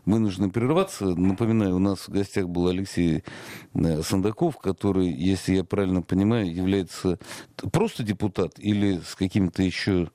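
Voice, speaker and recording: Low-voiced brisk male host. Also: mellow, no echo. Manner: brisk, leading, rhetorical. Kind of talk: broadcast interview and show hosting.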